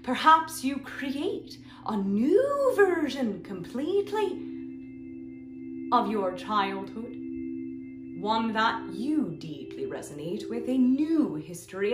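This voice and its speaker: A woman voicing a benevolent, serene extraterrestrial guide in a guided meditation, with deliberate, hypnotic, repetitive phrasing and long pauses. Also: on the high side, big pitch swings. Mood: soothing, wondering. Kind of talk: slow channeled guided meditation. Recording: roomy echo, soft music behind.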